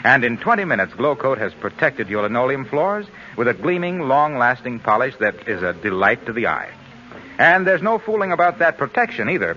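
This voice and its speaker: A muffled old radio broadcast has a man announcing with polished sales patter. His voice is fairly high and forceful, with lively rises and falls in true forties style. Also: a little hiss or hum. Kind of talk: 1940s radio announcing and ad read. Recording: dull 1940s radio recording with faint music.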